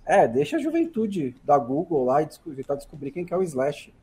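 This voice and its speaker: A man's voice: fairly high pitch, very animated, a little mellow. A Brazilian man chatting on a podcast panel, musing and restarting his sentences. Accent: Brazilian Portuguese. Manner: halting, restarts a lot, musing. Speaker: podcast co-host. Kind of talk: casual chat.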